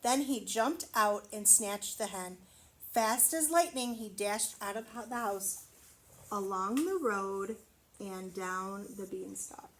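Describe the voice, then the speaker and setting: A woman reading a storybook aloud to children, expressively, pausing to wonder aloud and explain things simply. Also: medium pitch, low-quality recording. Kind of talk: children's read-aloud with asides to the listener.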